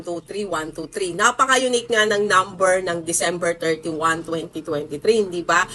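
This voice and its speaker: A Filipino woman in her forties, a teacher and content creator, chatting to her followers in a conversational, emphatic storytelling style with drawn-out words. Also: mid-range voice, bright, forceful tone. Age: 40s. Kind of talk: casual storytelling vlog to followers.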